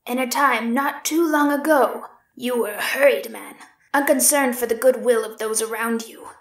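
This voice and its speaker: A woman voicing an impish female yokai spirit with an eerie, breathy, whispered delivery. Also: on the high side, fairly even intonation, moderate pace, natural voice. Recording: roomy echo.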